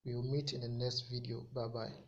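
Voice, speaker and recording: A man's voice: mid-range voice, flat delivery. Ghanaian man, dull lo-fi tutorial recording. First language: Akan. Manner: halting, repetitive explanation. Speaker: tech tutorial host. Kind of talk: informal step-by-step tutorial, mixing two languages.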